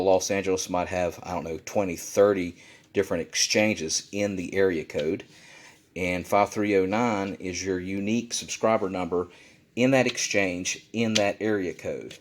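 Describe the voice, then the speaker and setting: A man with a fairly low voice walks through a practical demonstration in a casual way. He explains each step plainly and often restates it to be clear. He is recorded indoors at a desk. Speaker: instructor, video presenter.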